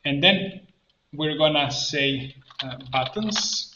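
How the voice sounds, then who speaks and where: A man presenting an informal screen-share tutorial, thinking aloud step by step in halting phrases. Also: a little high. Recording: roomy echo, muffled band, background noise. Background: keyboard typing and mouse clicks.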